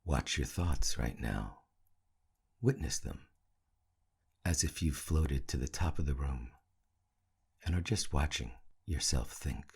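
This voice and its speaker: A man leading a guided meditation in a hushed, soothing, gravelly and husky voice, leaving long pauses between short phrases. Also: extremely low pitch, lively intonation. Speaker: meditation teacher.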